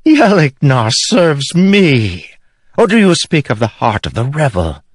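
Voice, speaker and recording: A man voicing a scheming, haughty queen who mocks her husband in a high, scornful voice. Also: wildly swooping pitch, a little slow, husky, no room sound, clean studio sound, exaggerated voice. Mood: gloating. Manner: scornful, sneering, high and pinched. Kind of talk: audiobook character voice.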